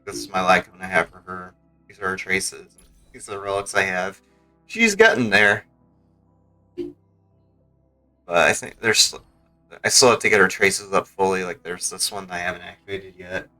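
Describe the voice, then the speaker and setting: A man livestreams a game in a fairly low but bright, forward voice. He talks casually, rambling and hesitating as he goes. Soft music plays faintly behind him. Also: expressive, moderate pace, long pauses, rough recording. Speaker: streamer. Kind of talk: casual livestream gaming chat.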